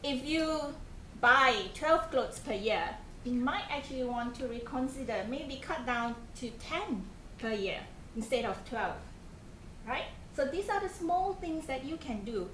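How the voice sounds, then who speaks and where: A fairly high-voiced woman with a Malaysian accent talks to a group of students in a conversational way, putting questions to them and often restarting her sentences. The room is echoey, with a faint hum of noise behind her. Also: lively intonation, measured pace, fairly bright tone. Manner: conversational, asks the audience questions, frequent restarts and fillers. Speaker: programme coordinator giving a talk to students. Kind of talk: informal presentation to a student group.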